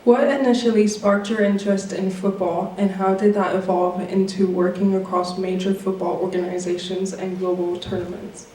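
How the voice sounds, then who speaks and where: A man's voice: high-pitched, little pitch movement, moderate pace, few pauses, darkish tone. A young man acting as an interviewer and reading prepared questions aloud. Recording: echoey room, distant mic, faint noise.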